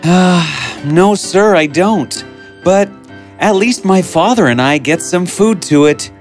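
Woman voicing young man, polite, hesitant, earnest, expressive, fairy tale, faint music. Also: on the low side, slow pace, pitched below the performer's own voice. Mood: humble, hesitant.

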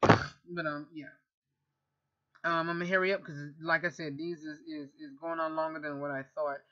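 Thirties woman vlogger, chatty and self-correcting, sharp bright voice, dull webcam sound. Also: deep voice, level tone, moderate pace. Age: 30s. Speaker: vlogger and radio host. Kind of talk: casual Q&A vlog. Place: bedroom, indoors. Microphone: webcam at close range.

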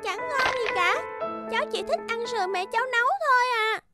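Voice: very high voice, animated, fairly quick. A performer voicing a stubborn, sad little girl in a cartoon, pouting and tearful. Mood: defiant. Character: stubborn, grieving little girl who misses her mother. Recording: loud music under the voice. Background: background music.

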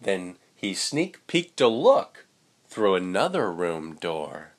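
A young man reads a storybook to children in an expressive, playful way. His pace is unhurried and his intonation rises and falls widely, with casual asides along the way. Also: low voice. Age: young adult. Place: indoors, small room with curtain.

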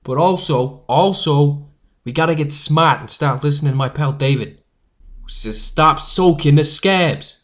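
A woman's voice: low voice, unhurried, voice pushed down. A woman voicing a tough, streetwise young newsboy leader in an audiobook story, slangy, emphatic and protective. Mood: rousing.

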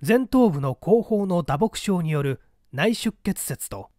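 A man reading a mystery story aloud in an expressive, scripted narrator's delivery. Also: high voice, takes it slowly, no room sound, studio quality. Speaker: audiobook reader, small-theatre actor.

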